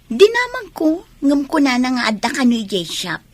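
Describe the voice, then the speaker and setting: A woman with an Ilocano accent playing a confiding character in a family radio drama, with a husky, breathy voice. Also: high voice, wildly swooping pitch, normal speed. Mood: concerned.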